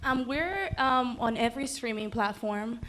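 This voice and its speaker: A woman's voice: on the high side, lively intonation, a little slow, fairly bright tone, slight background noise. A young woman from the Dominican Republic, a musical guest chatting casually in an interview, upbeat and full of ums. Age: young adult.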